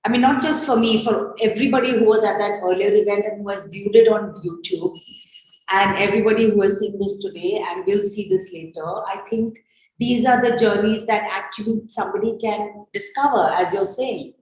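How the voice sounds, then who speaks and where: A woman's voice: medium pitch, normal speed, darkish tone. Woman in a very echoey room. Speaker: host of a post-performance discussion. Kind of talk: unscripted spoken reflection at an arts event. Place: reverberant indoor event space.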